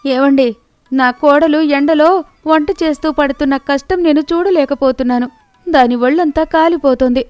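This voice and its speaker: A worried older village mother, put on by a woman storyteller, tender toward her family. Her voice is raised high and plaintive in a dry storybook recording. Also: steady tone, moderate pace, darkish tone, dry recording, faint music behind, lightly acted. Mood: distressed, pitying. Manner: plaintive, pleading.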